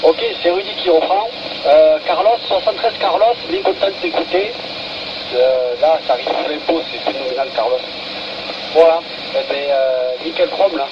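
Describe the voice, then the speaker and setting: A man's voice: on the high side, sing-song, normal speed. A man making a casual radio contact, enthusiastic and slangy. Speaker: amateur radio operator. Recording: noisy, muffled radio transmission.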